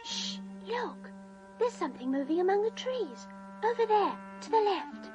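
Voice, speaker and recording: An eager young girl, alert and excitable. Her very high voice jumps around in pitch, with music clearly behind it in a children's fantasy drama. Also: measured pace, muffled recording, full character voice. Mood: excited, hushed.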